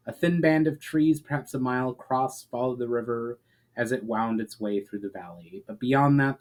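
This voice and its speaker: A man reading a fantasy story aloud as a narrator, in a measured, expressive storyteller's manner. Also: mid-range voice, low-quality recording. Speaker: author reading fiction aloud.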